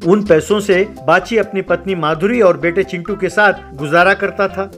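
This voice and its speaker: A man giving scripted narration of a comic animated story. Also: sits high, normal speed, soft background music.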